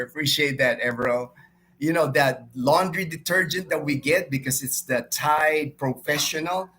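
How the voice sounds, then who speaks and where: A man's voice: mid-range voice, a bit bright. A middle-aged man from the Philippines hosting a live stream, chatting casually and informally with viewers and often repeating himself. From Philippines, born and raised in Manila until twelve.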